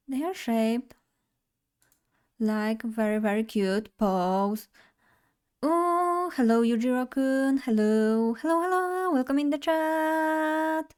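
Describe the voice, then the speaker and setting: A woman's voice: a little high, a little mellow. Bubbly young woman streamer. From Poland. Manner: bubbly, chatty, addresses chat directly. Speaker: vtuber streamer. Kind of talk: casual live stream chat.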